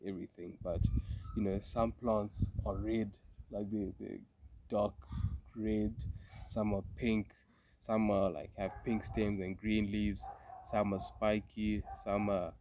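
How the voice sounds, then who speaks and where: A young man with a cold, talking in a relaxed, reflective way with many fillers and restarts while sitting outdoors in a garden. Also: fairly low pitch, takes it slowly, slight background noise. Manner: thoughtful, meandering, with frequent fillers and restarts. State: congested from a cold. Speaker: vlogger. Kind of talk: casual reflective vlog.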